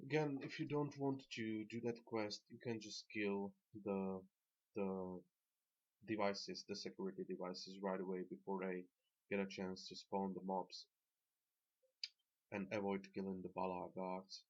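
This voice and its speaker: A man who is not a native English speaker, narrating an instructional video game guide in a measured, explanatory way. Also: low voice, little pitch movement. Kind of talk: instructional gameplay commentary. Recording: dull, lo-fi microphone sound.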